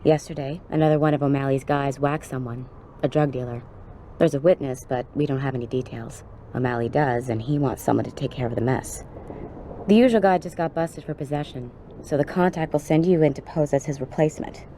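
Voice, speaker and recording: Game cutscene cop: streetwise, blunt, slangy, deep dark voice with light background noise. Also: female voice, steady tone, fairly quick. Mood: brisk, businesslike. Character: tough, streetwise woman police officer briefing an operative. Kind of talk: video game cutscene dialogue acting.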